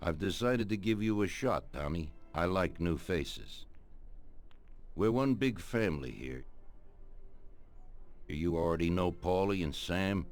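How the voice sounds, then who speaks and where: Older crime boss for a game cutscene: extremely low pitch, measured, commanding, authoritative. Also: male voice, heavily acted. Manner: deliberate, commanding. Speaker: voice actor. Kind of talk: dramatic video game cutscene acting.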